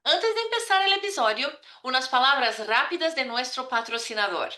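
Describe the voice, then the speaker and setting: Bright-voiced woman hosting, echoey room. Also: high voice, animated, moderate pace. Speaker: podcast host. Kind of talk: scripted intro, then interviewing.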